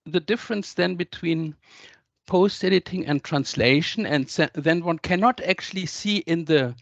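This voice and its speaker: A man's voice: a little high, moderate pace, creaky, a bit bright, no room sound. Male colloquium questioner, tentative and rephrasing; muffled lo-fi line, very dry. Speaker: audience questioner. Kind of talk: academic discussion, posing a question.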